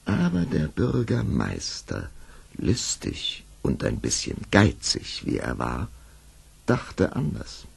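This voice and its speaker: A man narrating a children's fairy-tale audio play in an expressive storytelling manner. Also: very deep voice, slow, creaky, slight background noise. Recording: vintage audio play production.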